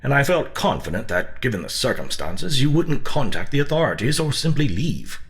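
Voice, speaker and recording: A man's voice: medium pitch, very animated, moderate pace. A man playing a pompous, theatrical, mysterious collector in a comedy audio drama. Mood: self-satisfied. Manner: pompous, formal.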